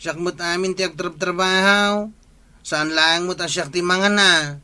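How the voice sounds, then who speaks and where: A man's voice: sits high, steady tone, unhurried, bright, forceful tone, clear, resonant tone, exaggerated voice. A man with a northern Philippine accent playing the captain's put-upon, whining nephew in an audio comedy. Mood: complaining. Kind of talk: comedy audio drama acting.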